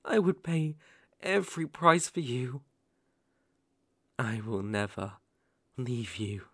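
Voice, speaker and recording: Man acting slow, creaky, possessive yandere boyfriend. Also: mid-range voice, big pitch swings, dry recording, full character voice. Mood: fervent, obsessed. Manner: slow, cooing, gently condescending, with soft menacing intensity. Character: possessive, lovesick yandere boyfriend, sweetly menacing captor. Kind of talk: ASMR roleplay, dramatic monologue.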